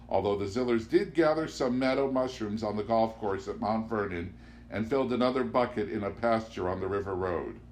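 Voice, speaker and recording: A man narrates literary fiction in an expressive audiobook style. His voice sits at an ordinary middle pitch, and a clear layer of noise and hum runs behind the reading. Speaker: audiobook reader.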